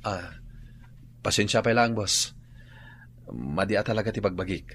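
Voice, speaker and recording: An actor playing a scheming, work-shy bandit underling in an Ilocano radio drama, hesitant and furtive, with an Ilocano accent. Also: male voice, fairly low pitch, measured pace, a bit bright, breathy edge, heavily acted. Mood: feigning weakness. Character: shirking, scheming bandit underling feigning sickness.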